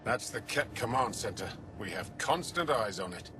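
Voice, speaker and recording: An actor playing a matter-of-fact alien lookout soldier in a video game, with a blunt, dry manner. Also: male voice, fairly low pitch, lively intonation, moderate pace, music in the background, close to an everyday voice. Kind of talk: dramatic game dialogue. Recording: clear noisy outdoor ambience behind.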